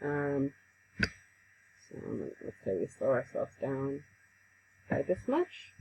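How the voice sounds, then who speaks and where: Relaxed woman teaching over a headset. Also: extremely low pitch, slow, slight background noise, rough recording. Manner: relaxed, conversational, frequent ums. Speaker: instructor. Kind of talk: screen-recorded software tutorial. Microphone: headset mic.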